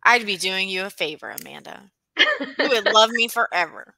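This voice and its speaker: A woman with a bright, sharp voice chatting playfully with a friend on a reading stream. She thinks aloud, trailing off with little fillers as she goes. The sound is dry and close. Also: mid-range voice, very animated, moderate pace, vocal fry, no echo, low-quality recording. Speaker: guest on a reading livestream. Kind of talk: casual chat between friends.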